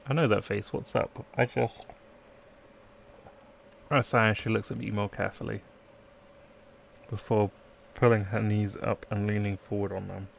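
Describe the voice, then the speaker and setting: A man's voice: lowish voice, level tone, moderate pace, gravelly, long pauses, slight background noise. A man live-streaming a let's play, reading game text aloud and chatting in playful asides. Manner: playful asides, trying out accents.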